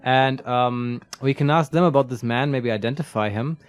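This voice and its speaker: A man's voice: mid-range voice, moderate pace, smooth. A man chatting casually and jokily as he co-hosts a video game playthrough, thinking aloud. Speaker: let's play co-host.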